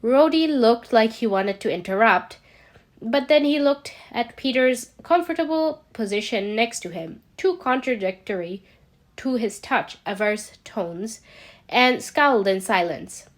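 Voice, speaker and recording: Slow-paced, higher-voiced woman reading a story. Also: expressive. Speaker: amateur audiobook reader of fan fiction. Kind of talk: scripted story narration with acted dialogue.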